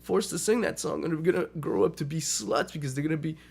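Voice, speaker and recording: A man co-hosting a casual radio chat, ranting and swearing, a little hoarse, and flaring up loud now and then. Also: on the high side, a little fast. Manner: ranting, profane, flares up loud when laughed at. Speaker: podcast co-host.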